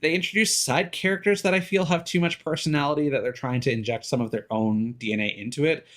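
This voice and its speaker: A man streaming live, talking casually and giving his opinions in a halting way, circling back over his words. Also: a little high, steady tone, a bit bright. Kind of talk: casual opinionated rambling on a livestream.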